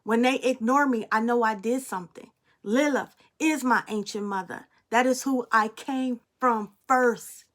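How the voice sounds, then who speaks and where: A woman's voice: a little high, a little slow, a bit bright. A young adult woman speaking in a casual spiritual monologue as a spiritual reader, blunt and emphatic, swearing freely.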